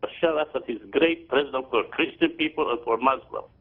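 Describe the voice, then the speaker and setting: A man's voice: medium pitch, brisk, husky. An older man from Syria calling in by phone, speaking broken English in an emphatic, repetitive way. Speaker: caller. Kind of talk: call-in phone comment. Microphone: telephone. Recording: tinny phone line.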